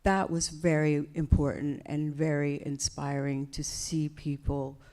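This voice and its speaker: A woman's voice: low-pitched, level tone, dark tone. A woman, speaking reflectively and unhurriedly as the guest in a live onstage conversation in an event hall. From United States. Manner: reflective, unhurried, with many fillers. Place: event hall before an audience.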